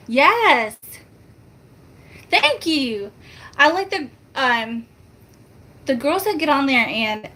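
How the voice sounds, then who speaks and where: Chatty soft-spoken woman. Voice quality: soft-spoken, high-pitched. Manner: chatty, rambling, self-mocking, laughs often. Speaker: family vlogger. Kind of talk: casual chat to viewers.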